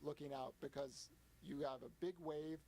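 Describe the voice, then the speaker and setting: A man in his early forties explaining things as a guest, halting and restarting his sentences often. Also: a little high, little pitch movement, gravelly, dark tone, poor audio. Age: 40s. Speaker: guest analyst. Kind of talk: casual interview explanation.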